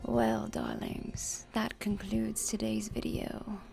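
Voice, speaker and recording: Woman as macabre vampire countess hosting ASMR cookery: genteel, very unhurried whisper, music underneath. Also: medium pitch, sing-song, full character voice. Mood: sinister, coy. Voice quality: breathy whisper with creaky fry. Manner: slow, deliberate, genteel. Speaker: host. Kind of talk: ASMR recipe narration.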